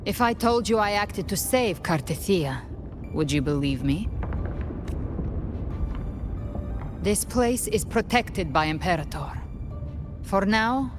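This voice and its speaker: An actress playing a cool, guarded avenger in a video game, speaking in a grave, measured, secretive manner. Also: female voice, on the low side. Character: cool, guarded female avenger and monster hunter. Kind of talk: scripted video game voice acting.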